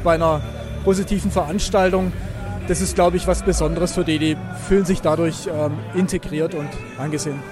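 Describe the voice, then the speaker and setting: High-voiced man interviewed over background music. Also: fairly even intonation, a little fast. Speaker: race organizer being interviewed. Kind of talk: spontaneous interview answers. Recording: outdoor event with music behind. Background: music and outdoor event sound.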